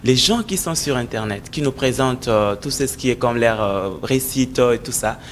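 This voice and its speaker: A man's voice: medium pitch, moderate pace, slight background noise. A man from the Democratic Republic of the Congo, an author, talking earnestly in an interview with frequent hesitations. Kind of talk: conversational interview.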